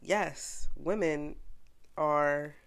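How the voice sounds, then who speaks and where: A woman's voice: deep voice, drawn-out words, vocal fry. A young woman co-hosting a casual podcast with her partner, congested from a cold, chatty and teasing. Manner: chatty, teasing, reads search results aloud. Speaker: podcast co-host. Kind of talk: casual couple chat.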